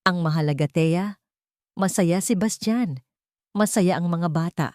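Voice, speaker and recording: A woman's voice: lowish voice, a little slow, a little mellow, no echo, studio quality, close to an everyday voice. A woman voicing a cheery, oblivious elderly grandmother who praises and preaches endurance in a narrated story. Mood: insistent, preachy.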